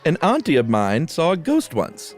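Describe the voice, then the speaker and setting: A man voicing a stuffy, sceptical English gentleman, slow and emphatic, with a put-on British accent. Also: fairly high pitch, huge pitch swings, faint music behind, pitched above the performer's own voice. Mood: stubborn, haughty. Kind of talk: amateur dramatic reading.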